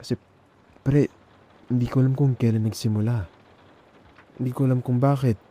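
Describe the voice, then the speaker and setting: A man with a Filipino accent playing a nervous, tender young man in an intimate ASMR boyfriend roleplay, speaking haltingly and repeating words. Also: mid-range voice, fairly even intonation, dark tone, close to an everyday voice. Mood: hesitant, tender. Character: nervous, tender young man confessing love to male best friend. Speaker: ASMR audio roleplay performer.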